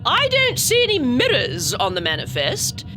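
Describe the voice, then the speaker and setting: Shrill, haughty space cargo officer. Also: female voice, wildly swooping pitch, normal speed, noisy background. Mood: withering sarcasm. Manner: theatrical, scolding, withering. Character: haughty, exasperated female cargo manifest officer. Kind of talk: sci-fi audio drama acting. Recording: music behind the voice.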